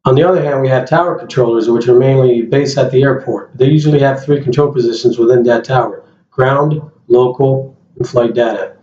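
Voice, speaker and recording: A man's voice: mid-range voice, a little fast, dark tone. A man giving a plain-spoken informational presentation as an air traffic controller, addressing his listeners directly. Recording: roomy, dull lo-fi sound, faint noise.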